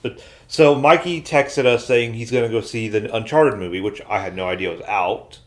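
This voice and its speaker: Male American podcast host, lower register, casual conversational delivery. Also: moderate pace. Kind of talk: casual panel chat.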